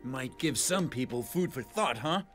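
An actor playing a young heroic prince in a cartoon, plainspoken and confident. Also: male voice, medium pitch, expressive, a little slow, fairly bright tone, faint music behind. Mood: calm, wry. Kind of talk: cartoon acting.

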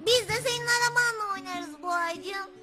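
A tiny, extremely high little girl worm in a children's puppet show. She sounds sweet, curious and affectionate, full of childlike exclamations. Music runs underneath. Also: moderate pace. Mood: playful.

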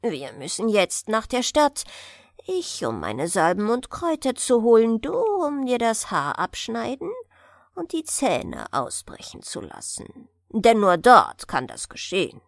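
A woman's voice: a little high, huge pitch swings, a little slow, vocal fry, no echo, clean studio sound, full character voice. A woman voicing a tiny, wrinkled old man in a storybook, friendly but sly as he bargains. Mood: businesslike. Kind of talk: storybook character voice.

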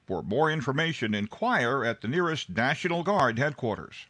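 A man's voice: medium pitch, very animated, moderate pace, a bit bright. A man reading a persuasive public service announcement in vintage radio style.

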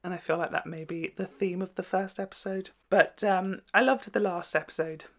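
A young man giving casual, expressive reaction commentary, chatting to camera and exclaiming in short bursts. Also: high-pitched, normal speed. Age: young adult. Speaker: reaction video host. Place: small indoor room at home.

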